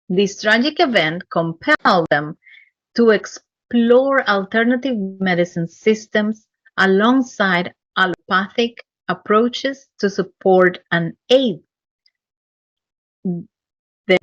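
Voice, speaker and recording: A woman hosting a podcast interview, speaking in an earnest, measured way with some hesitant pauses, in slightly dull lo-fi audio. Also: medium pitch, a bit bright, no room sound. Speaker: podcast host. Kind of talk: interview, reading guest bio.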